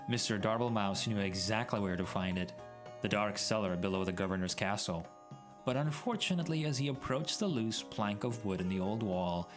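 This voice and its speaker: A man doing a dramatic storybook reading in a theatrical, mock-grand way. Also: lowish voice. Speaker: streamer reading a story aloud. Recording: background music mixed under voice.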